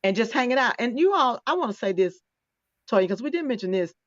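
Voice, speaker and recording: A talkative woman in her fifties hosts a relaxed conversation, laughing easily between thoughts. Her voice comes through a dry, dull tablet microphone with no room sound. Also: fairly high pitch, fairly quick, dry recording. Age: 50s. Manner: chatty, laughing, restarts often. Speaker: podcast host. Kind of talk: casual interview chat.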